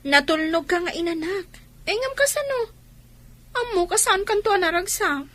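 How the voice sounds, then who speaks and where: A woman with a Filipino accent playing a sympathetic, inquisitive young cousin in a radio drama, expressive and confiding. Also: very high-pitched, moderate pace.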